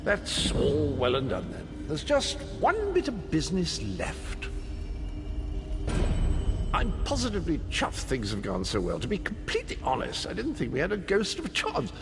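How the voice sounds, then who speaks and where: Jolly British knight, plummy and chummy, highish swooping pitch, video game fantasy, big reverb over music. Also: male voice, wildly swooping pitch, moderate pace, gravelly, heavily acted. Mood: cheerful, inviting. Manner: chummy, flamboyant, chirpy upper-class patter. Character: jolly, plummy, eccentric old-fashioned gentleman knight. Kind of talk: video game character acting. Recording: long reverb, music behind.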